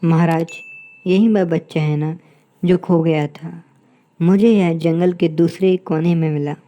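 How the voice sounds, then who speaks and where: A woman's voice: fairly low pitch, steady tone, unhurried, highs cut off, natural voice. A woman voicing a sly, insolent and menacing male jackal in a cartoon moral story. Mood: fawning.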